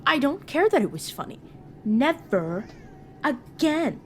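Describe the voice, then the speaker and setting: A woman's voice: high voice, very animated, unhurried, a little hiss or hum. A woman playing a sassy, protective escort to a new vampire in an audio roleplay, teasing and caring in manner. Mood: teasing, exasperated. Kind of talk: narrative audio roleplay acting.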